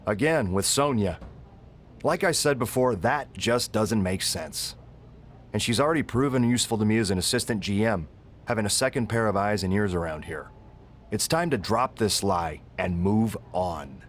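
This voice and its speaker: Guy doing stern dad-ish wrestling gm for a video game, low voice, firm n dismissive, slight background noise. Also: animated, moderate pace, natural voice. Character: stern but fatherly male wrestling general manager. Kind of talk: scripted video game cutscene acting.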